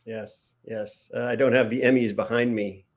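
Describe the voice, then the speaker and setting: A man's voice: medium pitch, fairly even intonation, normal speed. Man, middle-aged, dry humor, casual chat, webcam mic. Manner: dry, joking. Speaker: co-host, television writer. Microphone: video call webcam.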